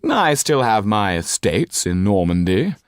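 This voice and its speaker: Haughty callous marquis, raised pitch, swooping intonation, drawling disdain, audiobook character. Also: male voice, sing-song, unhurried, no room sound, studio quality. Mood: dismissive. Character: haughty, callous French marquis, pompous and dismissive.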